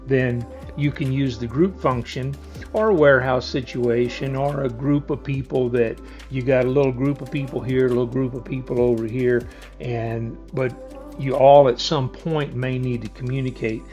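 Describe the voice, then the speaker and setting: A man's voice: medium pitch, fairly even intonation, moderate pace, a little mellow, soft background music. A man giving a casual, plain-spoken hands-on product walkthrough, explaining things step by step. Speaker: product reviewer and demonstrator.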